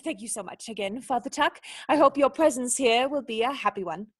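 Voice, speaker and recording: Radio play actress for a young noblewoman: high register, formal, defensive, secretly knightly and proud. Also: brisk, slightly rough. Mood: prim. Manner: earnest, protesting, ladylike formal speech.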